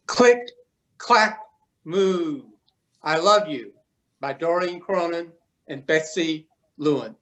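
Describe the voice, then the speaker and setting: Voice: medium pitch, takes it slowly, long pauses, a bit bright, rough recording. A man narrating a children's storybook as a readers theatre performer. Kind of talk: storybook reading.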